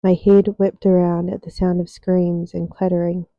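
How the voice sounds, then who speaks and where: A young woman reading her own fiction aloud as soft ASMR narration, unhurried, with deliberate pauses. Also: lowish voice, flat delivery, no echo, dull audio.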